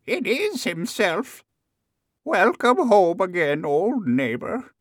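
An old storybook village woman, put on by a male narrator, very high and thin. She draws out every word slowly, astonished and welcoming. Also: huge pitch swings, no room sound, studio quality, heavily acted. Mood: amazed welcome.

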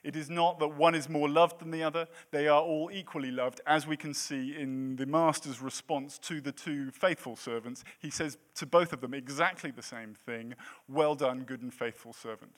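A man's voice: a little high, fairly even intonation, moderate pace, hardly any pauses. A man in his late thirties preaching a sermon in a church, with a self-deprecating, jokey manner between points. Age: 30s. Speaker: pastor.

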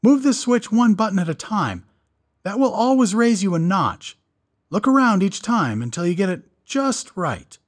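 A man's voice: high voice, big pitch swings, moderate pace, voice pushed up. A man voicing a sly, dry-witted doctor who speaks in a purring, wry way. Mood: instructive. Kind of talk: audiobook character voice.

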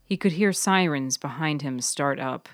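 Lowish-voiced woman narrating fiction, dry sound. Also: measured pace, no room sound. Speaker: author and narrator of a fiction podcast. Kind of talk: scripted story narration. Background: piano music.

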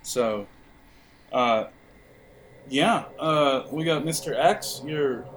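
A man's voice: medium pitch, drawn-out words, fairly bright tone, slight background noise, low-quality recording. A man running a tabletop game as game master, improvising casual narration and often searching for his words. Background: music under the voice.

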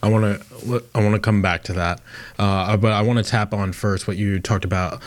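A man's voice: lowish voice, fairly even intonation, a little fast. A man interviewing a guest, asking direct, plain questions.

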